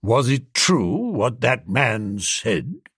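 A man acts an old English duke in a storybook reading. He is haughty and coldly polite, with formal, measured delivery and a close, dry sound. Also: medium pitch, very animated, fairly bright tone, slightly rough, no room sound, full character voice. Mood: stern.

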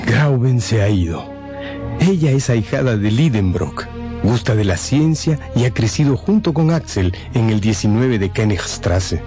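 A man's voice: fairly low pitch, animated, measured pace, over music. A man narrating a scripted radio drama as a dramatic storyteller.

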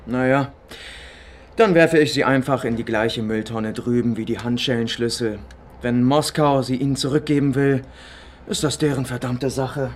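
Actor as a smug, spiteful Soviet officer. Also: male voice, mid-range voice, fairly even intonation, normal speed, slight background noise, natural voice. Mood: smug, taunting. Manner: mock-polite, gloating, sarcastic. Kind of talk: German hardboiled radio crime drama acting.

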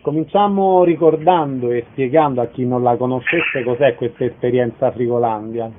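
Male radio interviewer, courteous and orderly, mid-pitched, on a narrow phone-like signal. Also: moderate pace. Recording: thin band-limited sound, faint noise.

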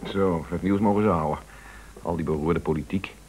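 An actor in a Dutch radio play playing a fussy, obstinate husband with an insistent, indignant, bossy way of talking. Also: male voice, deep voice, steady tone, normal speed, a little mellow, slight background noise, lightly acted. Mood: agitated, bossy.

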